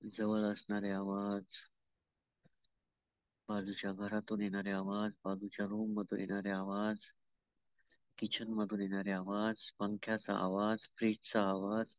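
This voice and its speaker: A man with an Indian Marathi accent leading a guided meditation as a teacher, calm and unhurried, pausing between instructions. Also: lowish voice, no room sound. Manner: calm, unhurried, with long pauses and repeated instructions. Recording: dull lo-fi audio.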